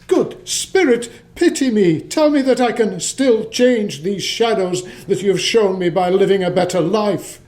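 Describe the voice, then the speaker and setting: Radio drama lead: repentant old miser, high-pitched, stammering, theatrical. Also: male voice, animated, unhurried, hardly any pauses, a little mellow. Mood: desperate pleading. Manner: stammering, breathless exclamations. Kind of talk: stage-like radio play acting.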